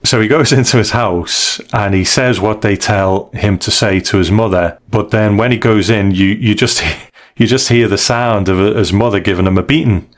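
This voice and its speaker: Low-voiced man in casual talk. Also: moderate pace. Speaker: podcast co-host. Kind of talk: casual conversational story recap.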